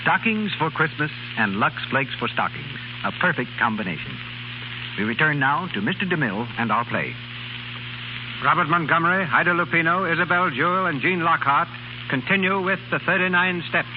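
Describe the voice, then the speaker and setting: Male 1930s radio announcer. Formal, polished narration, slightly high voice, dull archival sound. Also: animated, normal speed, background noise. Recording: muffled 1930s radio recording, music behind.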